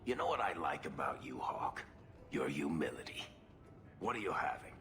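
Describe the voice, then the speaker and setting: An actor playing a wry, weary dwarf adventurer in a video game, talking conversationally. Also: male voice, a bass voice, sing-song, moderate pace, pushed and bright, faint music behind, full character voice. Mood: wry, relaxed. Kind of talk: video game cutscene acting.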